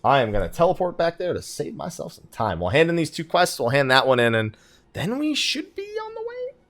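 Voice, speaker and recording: A man's voice: fairly high pitch, wildly swooping pitch, a little fast, a bit bright. A man hosting a let's play with casual gaming commentary, thinking aloud and muttering asides to himself.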